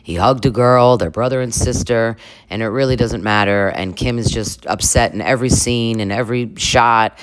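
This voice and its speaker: A man talks through a comedic reaction video in a sarcastic, chatty way, dropping little chuckles between lines. His voice sits in a plain middle pitch. The close mic is dry, with no room sound. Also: normal speed, fairly bright tone, clean tone, dry recording.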